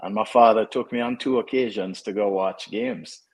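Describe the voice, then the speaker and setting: Low-voiced Jamaican man, relaxed coach. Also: normal speed, slightly rough. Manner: relaxed, conversational, frequent fillers and restarts. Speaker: soccer head coach. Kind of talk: casual interview.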